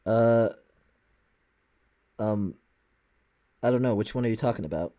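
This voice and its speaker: A woman with an extremely low, mellow voice comments casually as a co-host. Her delivery is dry and wry. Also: unhurried. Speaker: reaction show co-host.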